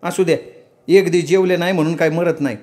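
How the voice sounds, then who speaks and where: A man's voice: fairly high pitch, steady tone, fast talker, a little mellow, voice pushed up, close to an everyday voice. A man voicing a proud, irritable young village wife who answers in curt, scolding retorts. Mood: sharp, irritated.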